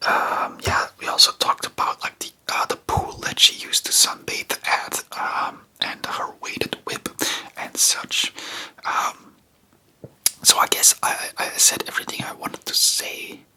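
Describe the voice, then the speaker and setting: A man's voice: a little slow, pushed and bright, rough recording. Man whispering rambling ASMR chat. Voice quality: breathy unvoiced whisper. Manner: rambling, hesitant, spontaneous. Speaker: ASMR video host.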